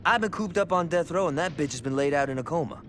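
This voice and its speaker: An actor playing a cocky, foul-mouthed gang lieutenant in a video game cutscene, talking with profane wisecracks. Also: male voice, fairly high pitch, fast, fairly bright tone, slight background noise, natural voice. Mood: wisecracking. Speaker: game character.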